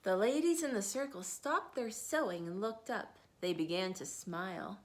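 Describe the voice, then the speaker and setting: A woman in her thirties reading picture books aloud to children, expressive and sing-song, and a little tired. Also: medium pitch, moderate pace. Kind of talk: picture-book read-aloud.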